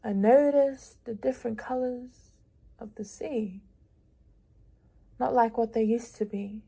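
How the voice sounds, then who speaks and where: A young woman reading her own lyrical prose aloud in a measured, reflective way with long pauses. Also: on the high side, gravelly, warm tone, husky. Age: young adult. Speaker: prize-winning young writer.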